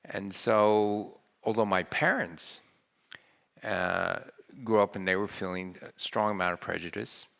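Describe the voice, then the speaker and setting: A man's voice: on the low side, unhurried, creaky, fairly bright tone. An older man from the United States speaking earnestly on a panel, often restarting his sentences. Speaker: panel guest, scientist. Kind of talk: panel discussion. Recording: reverberant hall.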